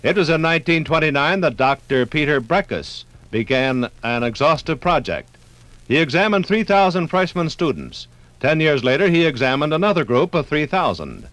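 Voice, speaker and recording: A man narrates a 1950s radio documentary. His voice sits a little high and his phrasing is formal and precise, recorded dry and close. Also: a bit bright, dry recording, poor audio.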